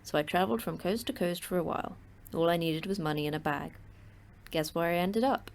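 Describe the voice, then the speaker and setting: Woman voicing a blunt facility boss, dry, sarcastic, lowish voice, audio drama. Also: a little fast, vocal fry. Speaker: terse facility manager.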